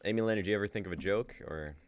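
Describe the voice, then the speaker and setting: A young man with a lowish voice closes out a live stream in loose, casual talk. He laughs often and trails off with fillers and restarts. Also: rapid-fire, slight background noise. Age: young adult. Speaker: host of a reading stream. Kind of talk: casual stream outro chat.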